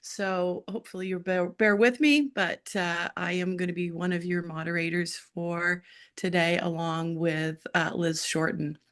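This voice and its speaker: A middle-aged woman with a hoarse voice, moderating an online roundtable on a video call in a brisk, upbeat way with frequent filler uhs. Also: fairly low pitch, fairly even intonation.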